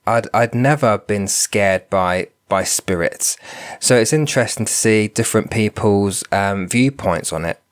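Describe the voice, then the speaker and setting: A man's voice: fairly low pitch, measured pace. A man hosting a solo podcast, stuffed up and nasal from a cold, talking in a thoughtful way and often repeating words as he thinks. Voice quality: nasal, bunged up. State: congested from a cold. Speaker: podcast host, spiritual medium.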